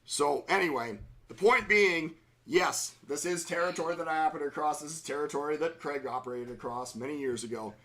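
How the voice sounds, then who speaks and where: A middle-aged man hosting a live train-watching stream, chatting casually in railroad jargon, his voice slightly hoarse from a cold. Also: a little high, brisk, hardly any pauses, fairly bright tone. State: slightly hoarse, under the weather.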